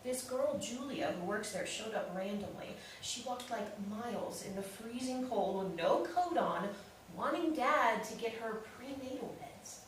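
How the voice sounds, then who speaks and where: A woman's voice: on the high side, animated, measured pace. A woman acting a wounded, defensive, newly separated sister in a staged play reading, candid and emotional. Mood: puzzled, uneasy. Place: live staged reading in an echoey room. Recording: very echoey room, distant mic, faint noise.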